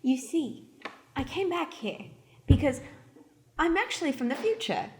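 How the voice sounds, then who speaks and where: A woman's voice: high voice, big pitch swings, unhurried. A woman playing a coy female mouse who is secretly a brazen police agent from the future, in an improvised radio play, arch and theatrical.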